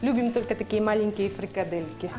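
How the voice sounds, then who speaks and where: A young woman from Yakutia, talking casually while cooking as a guest on a TV show. She speaks at an ordinary pitch and often pauses with fillers as she gathers her words. Music plays clearly under her voice in the studio kitchen. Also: a little mellow. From Yakutia, Russia. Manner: chatty, hesitant, many fillers.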